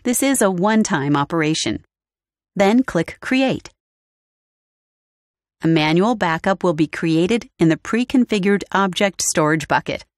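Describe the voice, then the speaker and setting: A woman with a lowish voice gives a calm, scripted software walkthrough. She reads each step clearly and evenly, like a studio voice-over. The sound is dry and close. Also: measured pace, dry recording. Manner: clear, even instructional delivery. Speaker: tutorial narrator. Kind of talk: scripted product walkthrough narration.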